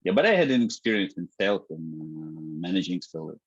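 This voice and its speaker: A man, a guest in an interview, talking in a halting way with many restarts and fillers. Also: deep voice, big pitch swings, breathy edge, no room sound, lo-fi sound. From Ukraine. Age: 30s. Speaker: guest, startup founder. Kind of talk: casual interview talk.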